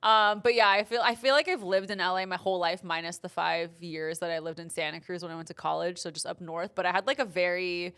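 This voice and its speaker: A young woman from in casual podcast chat, talking easily with plenty of "like" and quick laughs. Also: lowish voice, steady tone, a bit bright, clear, resonant tone. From Glendale, California. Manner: chatty, laughs easily, lots of "like". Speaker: podcast guest.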